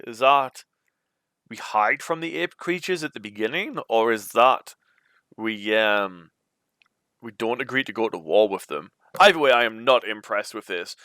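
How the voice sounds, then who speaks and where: A man with a bright, forward voice reads a gamebook aloud as its host. He mixes storybook narration with informal, chatty asides, in a dry, close-sounding recording. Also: medium pitch, dry recording. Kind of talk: storybook read-aloud with casual commentary.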